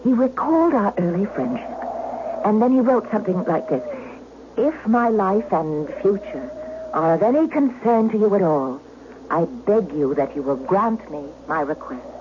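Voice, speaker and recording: A woman's voice: mid-range voice, lively intonation, moderate pace, close to an everyday voice. An actress playing an anxious, pleading noblewoman in an old-time radio drama, with formal, beseeching diction. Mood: anxious recounting. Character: pleading, anxious noblewoman defending herself to jealous husband. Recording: dull, noisy old radio recording.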